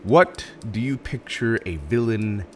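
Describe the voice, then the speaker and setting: A man performs a supervillain interviewer for a roleplay audio drama, in a low voice that swings dramatically up and down. He is theatrical and mock-professional, politely exasperated, with hesitant turns and a readiness to chuckle. Faint noise sits behind the voice. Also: expressive, a little slow. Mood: amused, businesslike.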